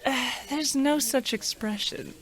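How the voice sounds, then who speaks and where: A woman's voice: high-pitched, a little slow, poor audio. A woman playing an exasperated, skeptical wife with incredulous interjections in an old-time radio comedy. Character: exasperated, skeptical wife named Carol interrupting her husband.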